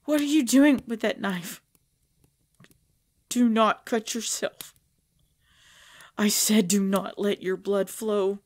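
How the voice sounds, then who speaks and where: A woman playing a proud, pregnant vampire leader in pain, speaking formally and dramatically in an ASMR roleplay with breathy drops toward a whisper. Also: medium pitch, steady tone, a little mellow. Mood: tormented hunger. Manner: slow, formal, dramatic, often dropping to whispers.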